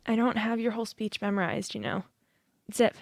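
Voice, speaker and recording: A young woman acting in an audio drama as a politician's sharp-witted grown daughter and exacting editor, dry and matter-of-fact. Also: fairly high pitch, little pitch movement, a little fast, vocal fry, background noise. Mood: firm, critical.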